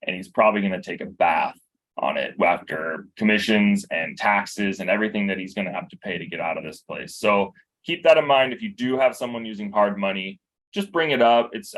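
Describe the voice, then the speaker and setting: A man from a bank giving a relaxed briefing, explaining loans with examples. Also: fairly low pitch. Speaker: bank loan officer. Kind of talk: informal work briefing.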